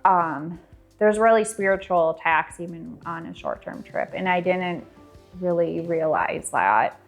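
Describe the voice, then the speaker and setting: A woman with a low, gravelly voice tells a rambling, reflective story. Music sits faintly underneath. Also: lively intonation, a little slow.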